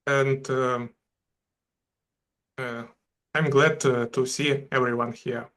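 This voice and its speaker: A man's voice: medium pitch, fairly even intonation, slow pace, a bit bright. A man from Ukraine with a mid-range voice introduces himself on a video call. He speaks hesitantly, with many fillers. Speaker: developer, maintainer.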